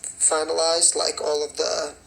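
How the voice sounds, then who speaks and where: Raspy young man chatting on a livestream. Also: fairly high pitch, flat delivery, measured pace, a bit bright, poor audio. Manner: rambling with many fillers and laughs. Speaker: singer and choreographer. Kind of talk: casual livestream chat answering comments.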